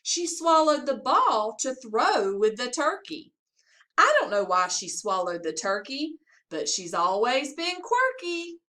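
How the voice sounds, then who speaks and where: A woman with a high-pitched voice reads a children's story aloud. She takes her time with each line and swings widely up and down in a sing-song, expressive way, like a school staffer reading to young pupils. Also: a little slow. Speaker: assistant principal reading to pupils. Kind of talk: children's picture-book read-aloud.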